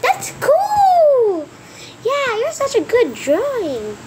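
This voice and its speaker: A woman voicing a playful little spooky cartoon child, squeaky and eager, in an amateur dub. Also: big pitch swings, normal speed. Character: playful, eager pumpkin-headed and skeleton children. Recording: noisy home recording.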